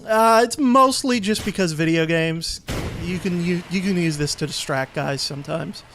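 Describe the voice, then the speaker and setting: A man's voice: high voice, moderate pace, noisy background. A man giving casual, easygoing commentary as he plays and explains a video game. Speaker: let's play host and player.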